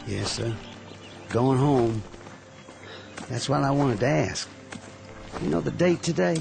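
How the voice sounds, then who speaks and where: A man's voice: medium pitch, lively intonation, slow, creaky, darkish tone, soft background music, full character voice. A man playing a polite, humble young Confederate soldier with a Southern accent, wounded and heading home on foot, in a radio drama. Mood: earnest, humble. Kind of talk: 1960s-style radio drama acting.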